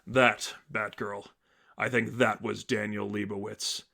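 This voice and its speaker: A man voicing a stern, brooding masked vigilante mentor, speaking in a grave, terse, low-key way in a story reading. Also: flat delivery, slow, vocal fry, sharp tone, full character voice.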